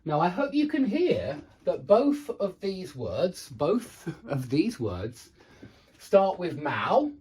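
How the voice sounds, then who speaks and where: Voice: medium pitch, big pitch swings, slow pace, a little mellow, slightly rough. An English pronunciation teacher giving a lesson, frank and self-deprecating, repeating examples with careful enunciation. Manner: self-deprecating, frank about own difficulty. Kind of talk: instructional lesson with demonstrated sounds.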